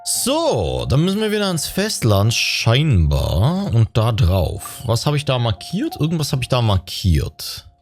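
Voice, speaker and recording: Guy streaming a game, super swingy pitch, slangy casual chat, game music clearly behind him. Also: wildly swooping pitch, unhurried, few pauses. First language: German. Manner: slangy, mixing in English words. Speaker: gaming streamer. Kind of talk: casual let's play commentary.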